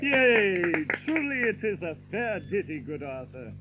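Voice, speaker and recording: An actor playing a jovial outlaw who teases his comrades in deliberate archaic English, as in a radio drama. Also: sits high, sing-song, very noisy. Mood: approving.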